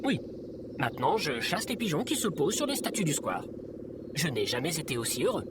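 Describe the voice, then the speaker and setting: A man's voice: a little high, very animated, a little fast, heavy background noise. A man playing a courteous, contented tin-man robot scarecrow in audio fiction, speaking earnestly and formally. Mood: cheerful, earnest.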